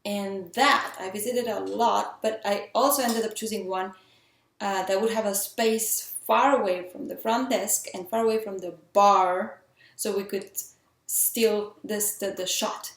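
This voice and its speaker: A woman's voice: medium pitch, steady tone, measured pace. A woman from Mexico with a Mexican accent tinged with French, talking through filmmaking tips in an earnest, chatty, enthusiastic way. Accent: Mexican, with some French influence. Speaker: independent filmmaker. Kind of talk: casual talk giving filmmaking tips. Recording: roomy echo.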